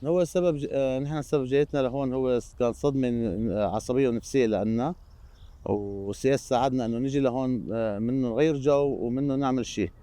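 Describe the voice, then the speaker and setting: A man from Syria, a native Arabic speaker, giving a halting documentary interview full of pauses. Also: medium pitch, warm tone. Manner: halting, with many pauses and fillers.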